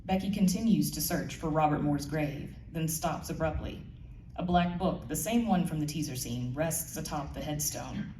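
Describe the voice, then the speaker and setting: A woman's voice: deep voice, moderate pace, mellow. A woman giving a live scripted narration of her audio drama, heard in a roomy space away from the mic. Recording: echoey room, distant mic, faint noise.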